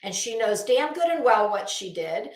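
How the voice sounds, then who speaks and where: A woman's voice: fairly high pitch, sing-song, moderate pace, echoey room, low-quality recording. A woman delivering an opinionated online commentary rant, blunt, scolding, emphatic and sweary. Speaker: online commentary video host.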